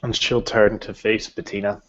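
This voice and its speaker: A man improvising narration as a game master, in a halting, deliberate way. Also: lowish voice, little pitch movement, a bit bright. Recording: dull, lo-fi audio.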